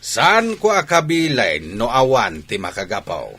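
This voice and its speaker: A man playing a stern, quick-tempered middle-aged village father in a radio drama, scolding and arguing. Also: mid-range voice, wildly swooping pitch, pushed and bright, breathy edge, exaggerated voice. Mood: angry, stubborn. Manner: scolding, argumentative.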